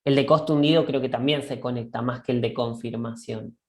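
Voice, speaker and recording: A woman co-hosting a reflective discussion. She thinks aloud in a halting way and keeps checking in with a questioning "right?". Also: extremely low pitch, steady tone, a little mellow. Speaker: discussion co-host. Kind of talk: reflective conversational dialogue.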